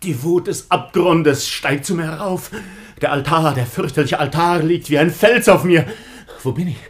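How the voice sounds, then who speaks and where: A man's voice: fairly high pitch, moderate pace, natural voice. A man acting in a radio drama as a tormented, vengeful outcast son, bitter and intensely declamatory. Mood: raging.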